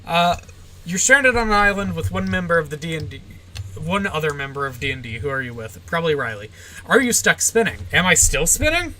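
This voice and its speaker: Bright, deadpan young streamer woman. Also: sits low, a little fast, slight background noise, poor audio. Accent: general American. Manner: short, deadpan answers with frequent "uh" and "like". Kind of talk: casual livestream Q&A, answering chat.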